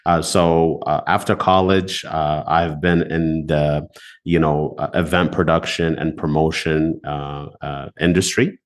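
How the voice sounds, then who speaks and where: A man from Ethiopia in his thirties, a loan officer answering interview questions in a relaxed way, with many filler pauses. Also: a bass voice.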